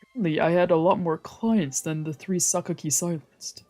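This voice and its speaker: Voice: mid-range voice, normal speed, warm tone. Visual novel streamer, mid-range voice, dramatic read-aloud with small stumbles, music underneath. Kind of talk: dramatic read-aloud narration with casual commentary. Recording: music behind the voice. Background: background music.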